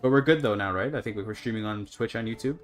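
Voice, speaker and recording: A man's voice: lowish voice, fast talker, soft background music. A Canadian man chatting casually to his livestream audience, rambling and apologetic as he goes. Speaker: live streamer. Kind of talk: casual gaming livestream chat.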